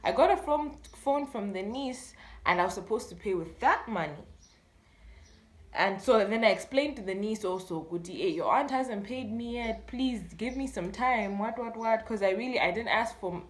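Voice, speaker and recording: Young female storyteller, Malawian accent, higher-pitched voice, chatty and expressive, roomy echo. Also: normal speed. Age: young adult. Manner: animated retelling, acting out dialogue. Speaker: YouTube storyteller. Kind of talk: casual storytime chat. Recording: echoey room sound.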